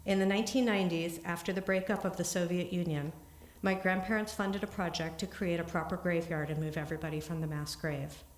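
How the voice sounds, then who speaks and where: A woman giving an earnest, steady talk in a synagogue, then answering questions, with small restarts. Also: on the low side, a little fast, hardly any pauses, slight background noise. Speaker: congregant giving a family history talk. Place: synagogue sanctuary. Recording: echoey room.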